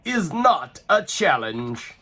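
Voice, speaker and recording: A man's voice: fairly high pitch, big pitch swings, slow pace, pushed and bright, husky, voice pushed up, exaggerated voice. A man voicing a brave, self-assured young knight in a storybook, making blunt, confident remarks. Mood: scoffing.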